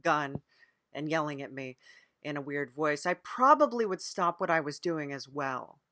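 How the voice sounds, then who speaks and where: A man hosting a mock-serious improvised comedy interview, hesitant and deadpan as he earnestly plays along. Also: on the high side, normal speed, no echo, studio quality. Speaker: podcast interviewer.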